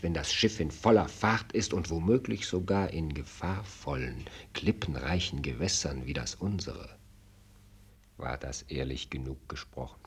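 An actor playing a shrewd, blunt minister of state in a German radio play, husky, with formal, rhetorical courtly speech. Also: male voice, rumbling low, exaggerated voice.

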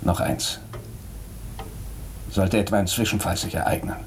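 Earnest, insistent doctor, noisy recording. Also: male voice, sits low, fairly even intonation. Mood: grave, calmer. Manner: urgent, insistent, deliberate rhetorical questioning. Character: earnest, probing male doctor, morally insistent. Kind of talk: German radio drama acting.

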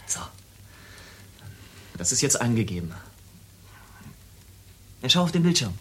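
Military radar operator, radio play, medium pitch, matter-of-fact, halting and brooding, noisy ambience. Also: male voice, very animated, sharp tone. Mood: eagerly demonstrating. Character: male military radar operator, casually showing off doomsday machinery. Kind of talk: German radio play dialogue.

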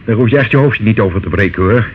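An actor playing a devious older uncle in a radio drama, glib, reassuring and condescending. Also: male voice, lowish voice, quick pace, lightly acted. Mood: evasive, soothing. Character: smooth, devious older uncle, soothing and patronising. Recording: phone-like, noisy old radio play recording.

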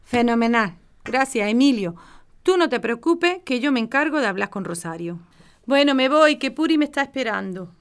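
A woman's voice: on the high side, expressive, moderate pace, smooth. A woman with an accent from Spain playing a caring, insistent older mother in a radio soap, coaxing and matter-of-fact. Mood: pleased. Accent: Spanish from Spain.

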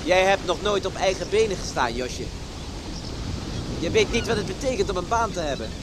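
An actor playing a serious, cautioning man in a Dutch radio play, speaking deliberately. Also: sits high, animated. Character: serious, cautioning male companion of a young woman. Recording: noisy, surf and wind behind. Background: sea waves and wind.

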